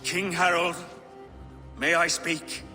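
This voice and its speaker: An actor playing a weary, proud older Viking king and father, speaking solemnly and deliberately like an orator in a game drama. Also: fairly high pitch, little pitch movement, sharp tone, heavily acted. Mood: solemn, pleading. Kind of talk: dramatic game cutscene acting. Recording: long hall reverb with music behind.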